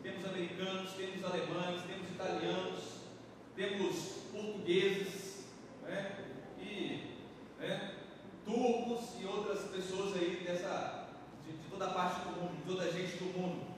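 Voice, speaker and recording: A male pastor from Brazil preaches in a chatty, conversational style, his voice sitting fairly high for a man. He is picked up from a distance, with a long reverberant church hall tail. Steady room noise sits underneath. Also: measured pace. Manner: conversational preaching, frequent tag questions to the congregation. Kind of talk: sermon. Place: church sanctuary. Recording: echoey church hall from far off with background noise.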